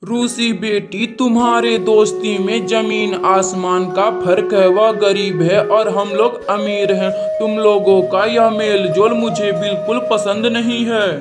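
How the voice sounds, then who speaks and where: A man's voice: sits high, flat delivery, unhurried, few pauses, loud music behind the voice. A man voicing a stern, class-proud father bird in a cartoon moral story. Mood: scolding.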